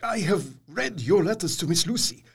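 An actor playing a grave, courtly foreign doctor in an audio drama, speaking formally in halting, foreign syntax. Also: male voice, high voice, animated, vocal fry, breathy edge, full character voice. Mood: grave, courteous. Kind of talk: radio drama acting.

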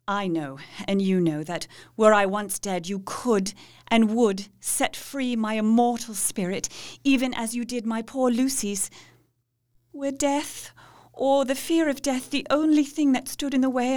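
A woman playing Mina, a devoted young wife, speaking with slow, solemn, pleading resolve in a radio play. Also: a little high, close to an everyday voice.

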